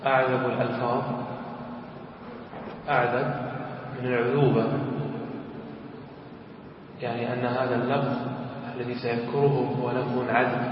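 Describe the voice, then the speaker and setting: A man's voice: medium pitch, flat delivery, normal speed, pauses often, slight background noise. A man, a religious teacher, explaining classical verses line by line in a lesson, reciting each verse and then unpacking its meaning. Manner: recites verses then explains them plainly, asks listeners if it is clear. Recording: echoey distant hall, dull sound.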